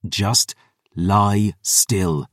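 A man playing a hard-bitten, stubborn, foul-mouthed fighter in an audiobook, gruff and blunt. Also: lowish voice, steady tone, extremely slow, a bit bright, no echo, studio quality, heavily acted. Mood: urgent, reassuring. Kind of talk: audiobook character voice.

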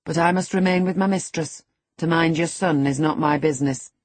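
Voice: medium pitch, brisk, dry recording, close to an everyday voice. A narrator voicing a blunt, firm, disapproving female housekeeper in an audiobook of a classic novel. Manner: frank, firm.

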